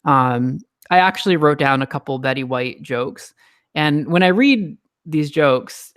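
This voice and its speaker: A man hosting a casual panel discussion, talking in an animated, ranting way. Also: mid-range voice. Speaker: host.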